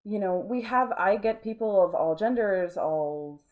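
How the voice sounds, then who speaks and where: A woman speaking conversationally in an interview, explaining earnestly and haltingly, with many filler words. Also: medium pitch, expressive, narrow, tinny audio. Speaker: interview guest, art studio owner.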